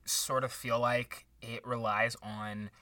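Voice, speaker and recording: A performer playing an indignant, jealous book podcaster in an improvised comedy, incredulous and sarcastic, repeating words haltingly. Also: mid-range voice, little pitch movement, pushed and bright, no room sound, distant mic. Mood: scornful, stubborn. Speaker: podcast co-host.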